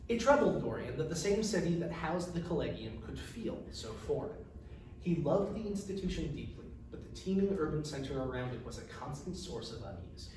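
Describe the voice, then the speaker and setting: A man reading his fantasy novel aloud as its author, in a measured, formal storytelling delivery. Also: a little high, expressive, darkish tone. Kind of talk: narrated fantasy fiction reading. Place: echoey room, recorded from a distance. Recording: distant mic, very echoey room, faint noise.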